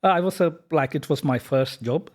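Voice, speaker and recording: A man, a software company founder talking casually in an interview, hesitant with many fillers and restarts. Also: on the high side, fairly quick. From Sri Lanka. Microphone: podcast microphone.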